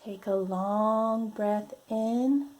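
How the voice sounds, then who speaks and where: A woman reading a picture book aloud to young children, with deliberate, gently instructive delivery and guided breathing prompts. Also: mid-range voice. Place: at home.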